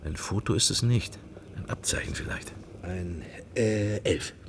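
A man's voice: sits low, a little slow, a little hiss or hum. A man playing a pensive middle-aged husband in a radio drama, wry and furtive, musing in clipped fragments. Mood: distracted musing. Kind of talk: radio drama inner monologue and dialogue.

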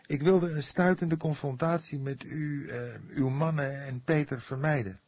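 A man's voice: on the high side, steady tone, measured pace, darkish tone, slightly rough, no room sound, voice pushed up. A man voicing a firm, earnest and defiant young woman in an audiobook. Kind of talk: audiobook character dialogue.

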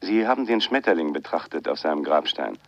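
Cryptic insistent man on the phone, old radio thriller, low voice, dry muffled sound. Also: expressive, fairly quick, no echo. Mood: cryptic, probing. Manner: cryptic, probing, self-assured. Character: mysterious, insistent man phoning a writer, afraid for his life. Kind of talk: 1980 German radio crime drama acting. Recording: dull, lo-fi radio play sound.

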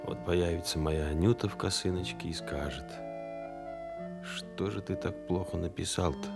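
Deep, brooding man over music. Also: fairly even intonation, moderate pace, vocal fry. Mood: pensive. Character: pensive man haunted by echoes of war. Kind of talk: Soviet radio drama monologue. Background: music behind the voice.

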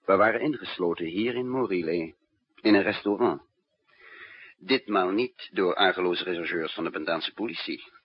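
A man's voice: fairly low pitch, slightly rough, full character voice. An actor playing a cool, controlled male spy, narrating and talking in a 1960s radio drama. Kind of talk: 1960s Dutch radio play, first-person narration and dialogue. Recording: dull 1960s radio recording with music.

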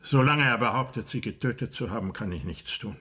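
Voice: medium pitch, expressive, fairly quick, sharp tone, slightly rough. An actor playing a guarded, unyielding police chief in a German radio drama, speaking tersely and formally. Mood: stern, guarded. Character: guarded, curt police chief refusing a teenage girl's pleas.

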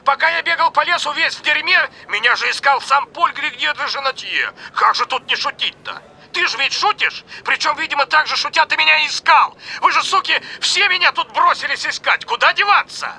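A man's voice: expressive, fairly quick, hardly any pauses, bright, forceful tone, heavily acted. A man voicing a hysterical, bitter, foul-mouthed man at breaking point in a dramatized audiobook. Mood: hysterical rage. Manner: ranting, high-strung, swearing.

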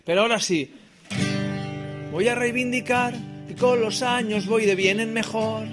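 A man with a notably high voice and a Spain Spanish accent talks over clear acoustic guitar. He rambles through self-deprecating comic banter like a singer-songwriter between songs, tossing out little tag questions as he goes. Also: unhurried. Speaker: singer-songwriter and comedian performing live. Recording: live performance with acoustic guitar.

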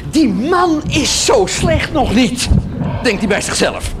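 Monk huckster, very high slow voice, sly cajoling patter, noisy radio-play recording. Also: male voice, very animated, exaggerated voice. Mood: jovial, cajoling. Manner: theatrical, cajoling sales patter, punctuated with laughs. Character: wheedling monk huckster selling indulgences to peasants. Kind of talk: radio drama acting.

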